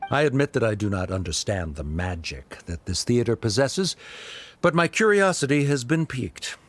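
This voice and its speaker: A man playing a stern, middle-aged scholar father in a game, speaking formally and with restraint. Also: on the low side.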